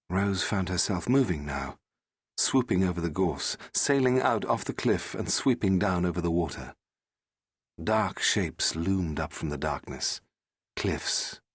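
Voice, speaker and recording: A man narrating a fiction audiobook in a measured, dramatic and suspenseful manner. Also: deep voice, breathy edge, no room sound, studio quality.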